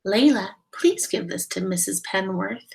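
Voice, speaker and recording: Woman reading as a gentle, creaky-voiced storybook mother. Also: medium pitch, expressive, measured pace, distant mic. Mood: polite. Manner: gentle, caring. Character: gentle, cheerful mother of a young girl.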